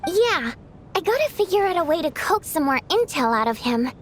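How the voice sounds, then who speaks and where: Brief: a sickly but excitable young woman, very high voice, faint hiss. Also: normal speed. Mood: eager. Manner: bright, excitable, chatty. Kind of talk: game voice acting.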